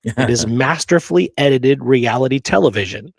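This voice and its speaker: A man's voice: mid-range voice, lively intonation, moderate pace, vocal fry, slightly rough, no room sound. A man co-hosting a discussion show, reading out quotes and often checking in with "okay?" and "right?". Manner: frequent "you know" and checking "okay?", "right?". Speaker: show co-host.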